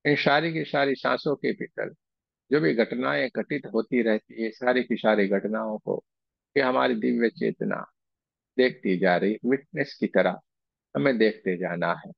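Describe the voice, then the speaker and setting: Male meditation guide, slow soothing repetition, gravelly low register, pauses between phrases, dull lo-fi close recording. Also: dry recording.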